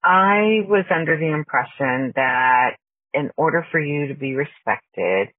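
A woman's voice: sits low, expressive, a little slow, dry recording. A woman from Texas giving a reflective, earnest answer in a recorded listener message. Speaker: listener caller. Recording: tinny phone line.

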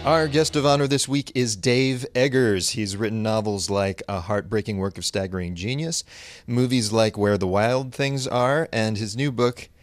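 A man's voice: fairly low pitch, normal speed, dry recording, soft background music. A man hosting a podcast, interviewing in a casual, conversational and wry way.

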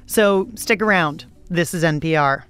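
A woman hosting a radio show, reading scripted links in a brisk, upbeat, conversational way. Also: on the low side, fairly bright tone, smooth, soft background music.

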